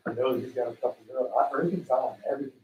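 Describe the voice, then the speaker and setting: A co-host making quiet, dry asides in casual radio chat. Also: medium pitch, rapid-fire, roomy sound, dull audio, slight background noise.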